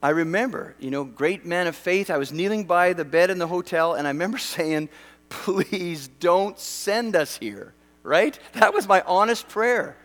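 Middle-aged male preacher from Western Canada, conversational and animated, with a slightly raised pitch, recorded in a big echoing hall. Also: normal speed. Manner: animated, conversational, self-deprecating humor. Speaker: pastor. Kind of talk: sermon. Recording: long hall reverb.

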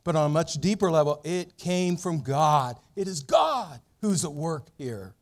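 A middle-aged male pastor preaching an expository sermon, telling the story dramatically with big swings in loudness. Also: on the high side, lively intonation, unhurried.

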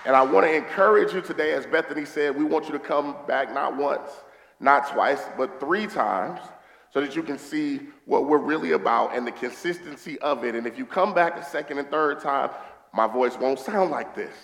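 A hoarse man preaching a sermon as a pastor, with stretches of quieter, hushed prayer into a stage microphone. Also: fairly high pitch, normal speed. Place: church hall. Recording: echoey hall with faint guitar music.

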